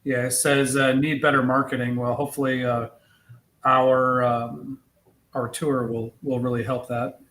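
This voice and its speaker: A man's voice: mid-range voice, level tone, measured pace, fairly bright tone. A man co-hosting a livestream in casual conversation. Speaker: livestream co-host. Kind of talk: casual chat. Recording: roomy echo.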